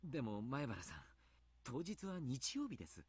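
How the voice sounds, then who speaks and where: An actor voicing a calm, polite adult male doctor who speaks in a soft, measured, formal way. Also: medium pitch, slightly rough, no room sound, heavily acted. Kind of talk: Japanese game voice acting.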